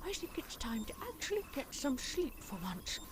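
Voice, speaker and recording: A woman playing a motherly, brisk adventurer in an audio drama, with an earnest manner. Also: sits high, very animated, exaggerated voice. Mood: pensive. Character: motherly, brisk female alien-fighting adventurer. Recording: clearly noisy background.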